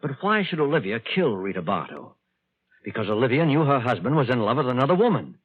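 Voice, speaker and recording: An actor playing a thoughtful, questioning schemer with a husky voice in a 1940s radio drama. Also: male voice, mid-range voice, sing-song, quick pace, no room sound. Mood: musing. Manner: musing, questioning. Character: thoughtful male schemer puzzling out a crime. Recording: dry, tinny 1940s radio recording.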